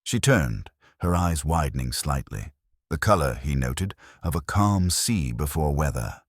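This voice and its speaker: A man reading a scripted mystery story in a measured, literary way with dry understatement. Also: very deep voice, sing-song, no room sound. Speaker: narrator. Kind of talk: scripted mystery audiobook narration.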